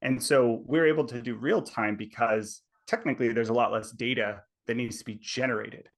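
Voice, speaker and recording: A man being interviewed as a technology executive explains ideas over a video call. His voice sits in an ordinary middle pitch, and he talks at length, often tagging his points with "right?". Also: steady tone, brisk. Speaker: tech company executive guest. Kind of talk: conversational explaining.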